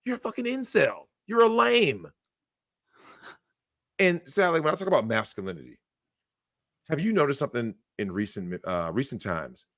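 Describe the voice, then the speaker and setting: A man's voice: medium pitch, very animated, normal speed, long pauses, a bit bright, no room sound, clean studio sound. A man giving blunt opinions on a livestream, talking emphatically, swearing and acting out the people he quotes. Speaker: guest.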